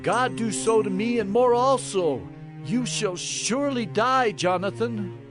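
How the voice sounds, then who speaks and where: A man's voice: high voice, a little slow. Stern, commanding king, music behind. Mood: anguished, severe. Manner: solemn, emphatic, declamatory. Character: stern, commanding warrior king of ancient Israel. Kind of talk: dramatized audio Bible acting. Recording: dramatic music under the voice.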